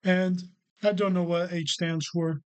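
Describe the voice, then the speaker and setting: A man's voice: sits high, level tone, smooth, voice pushed up. A man voicing a curious young frog, polite and earnest, in a children's storybook reading. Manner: polite, drawn-out questioning.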